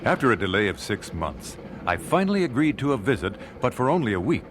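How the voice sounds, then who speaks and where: An actor playing a thoughtful, genteel American singer recalling his life in a radio drama, measured and well-spoken. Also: mid-range voice, very animated, close to an everyday voice. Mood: reflective. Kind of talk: radio drama first-person narration. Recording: noisy old radio drama recording.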